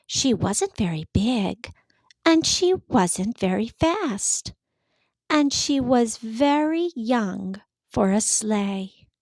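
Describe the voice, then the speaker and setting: Female storybook reader for young kids, somewhat high voice, expressive and very unhurried. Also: no room sound. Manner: expressive, deliberate, simple phrasing for children. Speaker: host of children's read-alouds. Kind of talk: storybook read-aloud for young children.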